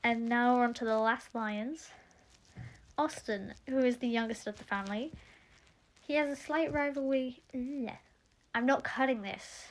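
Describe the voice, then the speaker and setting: Tired, chatty young woman, slow talk. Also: on the high side, rough recording. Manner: chatty, rambling, self-interrupting. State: tired, slightly croaky. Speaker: audio drama creator. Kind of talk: casual cast introduction.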